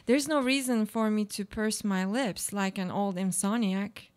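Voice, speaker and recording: A woman acting a weary, guarded woman in a radio play, speaking literary monologue in a deliberate, declamatory way. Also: mid-range voice, a little mellow, close to an everyday voice. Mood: quietly content.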